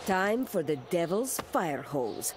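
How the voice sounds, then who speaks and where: A woman's voice: on the low side, very animated, takes it slowly. A woman playing a tender, resolute and theatrical wife in a dramatic video game scene. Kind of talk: dramatic game cutscene acting. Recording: clear background noise and ambience.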